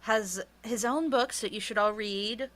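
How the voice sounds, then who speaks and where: A woman author being interviewed, chatting casually in an animated, self-deprecating, sweary way. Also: on the high side, unhurried, heavy background noise.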